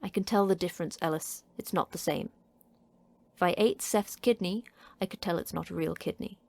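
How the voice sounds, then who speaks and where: A woman's voice: lowish voice, normal speed, gravelly, darkish tone, no room sound. A woman as a blunt, clipped facility boss. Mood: sardonic. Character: terse, tough female facility manager.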